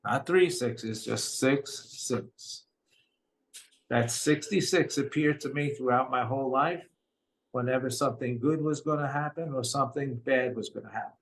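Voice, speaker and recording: A man's voice: moderate pace, low-quality recording. An older man with a New York accent earnestly telling his own story, often quoting what others said to him. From Brooklyn, New York. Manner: earnest, retells dialogue in quotes, voice drops low and quiet at hard moments. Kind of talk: personal storytelling.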